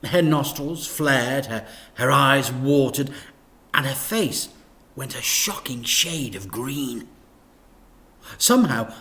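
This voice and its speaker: A man reading a children's story aloud in an expressive, teacherly narration. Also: mid-range voice.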